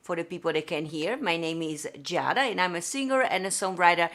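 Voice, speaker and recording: A woman's voice: on the low side, brisk, fairly bright tone. A woman from Venice with an Italian accent, hosting a casual livestream and greeting viewers effusively. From Italy (Venice). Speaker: singer and livestream host. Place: home studio.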